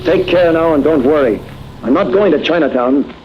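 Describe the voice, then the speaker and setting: An actor playing an earnest young police investigator in a 1940s radio drama, clipped and purposeful. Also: male voice, on the high side, normal speed, natural voice. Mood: determined. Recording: muffled old radio recording, faint noise.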